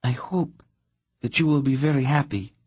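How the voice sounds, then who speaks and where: A man voicing a proud young princess who turns from lofty coldness to sobbing, high and breathy, in an audiobook. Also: normal speed. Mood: haughty, icy. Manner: lofty, then wailing and sobbing.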